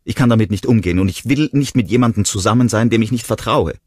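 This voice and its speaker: Ein Mann spricht eine junge Frau, verletzt und wütend, mit schrillen, flehenden Ausbrüchen. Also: male voice, sing-song, normal speed, husky, no room sound, full character voice. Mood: furious. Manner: shrill, pleading outbursts. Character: young woman, hurt and furious.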